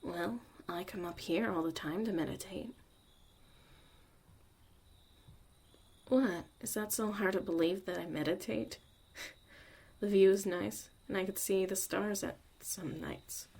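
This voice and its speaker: A woman acting a gruff, guarded but secretly caring young mage mentor in a zombie apocalypse ASMR roleplay, soft-spoken and often near a whisper. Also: medium pitch, a little slow. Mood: defensive, softening.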